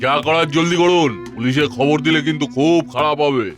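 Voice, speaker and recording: A man's voice: a little high, fairly quick, loud music behind the voice, close to an everyday voice. A man voicing a swaggering, greedy gang boss in a comic cartoon, boastful and menacing.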